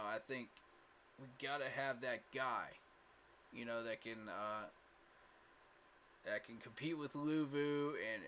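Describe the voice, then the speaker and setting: A man's voice: medium pitch, bright, forceful tone, a little hiss or hum. A man hosting a casual fan livestream, talking emphatically with lots of fillers and repeated words, tired from little sleep. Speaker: fan channel host.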